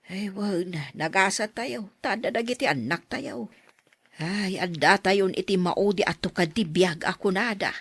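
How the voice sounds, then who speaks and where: A woman playing a resigned, ageing mother in a radio drama, with a husky voice. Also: medium pitch, a little slow, no echo. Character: resigned, ageing mother sighing over life.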